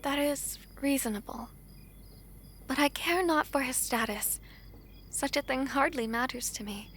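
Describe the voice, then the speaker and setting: Woman acting slow, high-voiced, gentle young princess. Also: slight background noise. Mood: earnest, tender. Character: young foreign princess, gentle, earnest, lovestruck and courteous. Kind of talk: slow, formal romantic audio drama acting.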